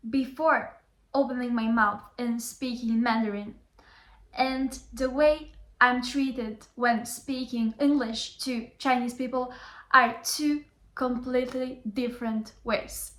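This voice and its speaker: A woman's voice: a little high, steady tone, slow pace, fairly bright tone. A young adult woman, a Chinese tutor giving an earnest motivational vlog talk and repeating phrases for emphasis. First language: Bulgarian. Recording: roomy echo.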